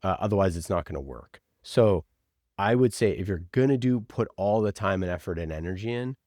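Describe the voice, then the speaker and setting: A man's voice: deep voice, lively intonation, brisk, darkish tone, no echo, clean studio sound. A man giving conversational marketing advice as an interview guest, informal, opinionated and emphatic, often voicing imagined quotes. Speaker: podcast producer, interview guest.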